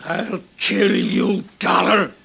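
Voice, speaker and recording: An American actor playing a corrupt, indignant, menacing small-town sheriff in a 1950s radio drama. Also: male voice, sits high, steady tone, takes it slowly, creaky, noisy background, full character voice. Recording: vintage radio drama recording.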